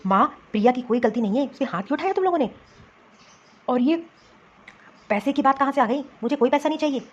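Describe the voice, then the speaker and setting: Protective cartoon son, played by a woman: raised pitch, reproachful, insistent, a little lo-fi. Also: animated, fast, breathy edge. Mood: indignant. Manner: remonstrating, insistent. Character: protective adult son and husband, righteous and reproachful. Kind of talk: Hindi cartoon family drama acting.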